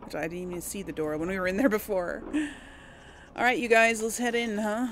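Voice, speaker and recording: A woman's voice: mid-range voice, fairly quick, slight background noise. A woman giving casual role-play commentary on a video game, informal and musing aloud. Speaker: gaming channel host.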